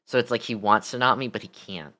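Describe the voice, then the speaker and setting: A man streaming a game talks to his viewers in loose slang. His voice sits fairly low and cuts through brightly. He is crude and jokey, and tends to repeat himself as he chats. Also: brisk. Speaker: livestreamer playing a game. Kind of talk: casual chat with stream viewers.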